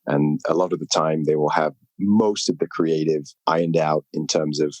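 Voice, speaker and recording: A reflective man with a deep, low voice talks through his ideas in a relaxed interview. He qualifies his thoughts constantly as he goes. The sound is close and dry, with no room around it. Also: normal speed, dry recording. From United Kingdom. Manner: reflective, hedges often with "sort of". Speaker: film writer-director, guest. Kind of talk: casual interview conversation.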